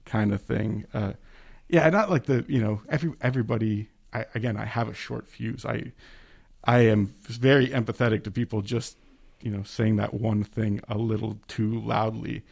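A man's voice: lowish voice, steady tone, normal speed, gravelly, a little mellow, husky. A man in a casual discussion, talking hesitantly with frequent restarts and self-deprecating asides. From Italian immigrant family background. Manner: hesitant, self-correcting, laughs at himself. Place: remote call.

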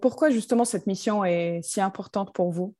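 A woman's voice: medium pitch, moderate pace, mellow. A woman interviewer posing short, friendly questions.

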